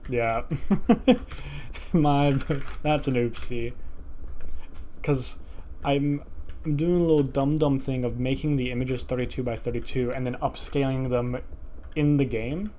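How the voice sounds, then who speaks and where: A man thinking aloud on a casual programming livestream, halting and self-deprecating as he mutters to himself. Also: medium pitch, steady tone, dark tone, slight background noise. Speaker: live streamer coding a game.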